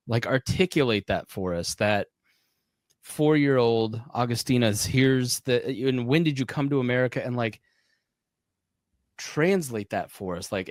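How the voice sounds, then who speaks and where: Guy hosting a podcast, lowish voice, dry sound, eager questions, says right a lot. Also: dry recording. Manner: enthusiastic, probing, uses "right?" often. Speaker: podcast host. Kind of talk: conversational interview.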